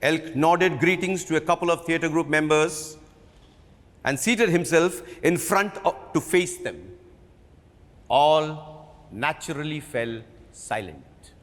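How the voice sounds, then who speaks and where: A man with an Indian accent giving a theatrical, measured dramatic reading of a biography in a hall. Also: a little high, expressive, fairly bright tone. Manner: theatrical, measured storytelling delivery. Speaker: theatre performer reading at a book event. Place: live reading in an event hall. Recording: reverberant hall.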